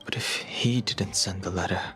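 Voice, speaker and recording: A man playing a serious, guarded young man haunted by his past in a video game, speaking in a measured, reserved way. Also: sits low, bright, forceful tone, soft background music. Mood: pensive, guarded.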